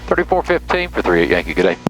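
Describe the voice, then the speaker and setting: A man's voice: low-pitched, sing-song, racing. A man speaking as a pilot on a headset in a small plane, switching between joking casual chat and clipped radio calls. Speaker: pilot and vlog host. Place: small twin-engine airplane cockpit in flight. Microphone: aviation headset. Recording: engine drone and music behind.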